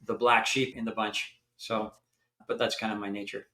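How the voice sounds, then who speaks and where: An older man from Canada, a company founder telling stories in a chatty, animated way during an interview. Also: fairly low pitch, normal speed, poor audio. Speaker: founder and guest. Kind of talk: storytelling in an interview.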